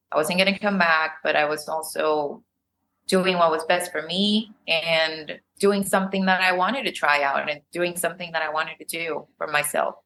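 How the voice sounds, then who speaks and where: This is a woman guest on a chat podcast whose first language is Spanish. Her voice sits a bit low but comes across bright and forceful. She talks in a relaxed way, laughing easily and filling pauses with ums, over a faint background hum. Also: poor audio. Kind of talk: casual interview chat.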